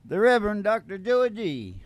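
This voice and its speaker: A man's voice: high-pitched, sing-song, normal speed, highs cut off. A man hosting a country comedy radio show, rambling along in folksy old-time storytelling banter. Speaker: radio show host and singer. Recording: loud acoustic guitar behind the voice. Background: acoustic guitar strumming.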